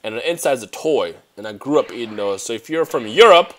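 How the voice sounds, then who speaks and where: A young man who grew up in Germany, chatting excitedly and rambling as he plays a video game, speaking into a headset mic at home. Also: medium pitch, a little fast. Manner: excitable, rambling, talks to himself while playing. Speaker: gaming youtuber. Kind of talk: casual let's play commentary.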